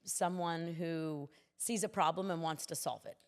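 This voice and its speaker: A woman's voice: low-pitched, steady tone, measured pace, smooth, big-room sound. A middle-aged American woman speaking conversationally as a guest in a podcast interview. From United States. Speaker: interview guest, city commissioner.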